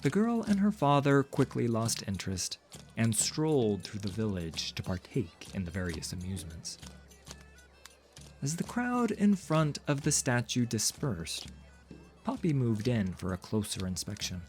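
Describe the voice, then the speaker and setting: A man's voice: medium pitch, very animated, a little slow, darkish tone, faint music behind. A man narrating a fantasy story in a dramatic, scripted audiobook style.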